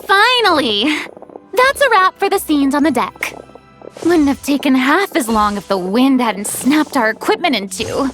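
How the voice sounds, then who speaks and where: A woman voicing a theatrical, generous young film director with a breezy, expressive manner in a video game. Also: sits high, measured pace, music in the background. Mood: relieved, friendly.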